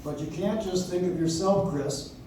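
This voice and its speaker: Earnest, loyal lawyer played by a man, soft-edged higher voice, stage radio drama, recorded far off in an echoing room. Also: normal speed, close to an everyday voice. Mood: earnest concern. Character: earnest, loyal young lawyer pleading with friend. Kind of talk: live stage radio play. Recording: roomy, distant audience recording with noise.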